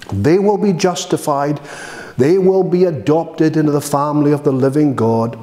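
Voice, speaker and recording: Slow, solemn preaching man in a roomy hall. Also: a little high, dark tone. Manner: measured, solemn preaching with emphatic repetition. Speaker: minister. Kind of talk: sermon. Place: church hall, indoors.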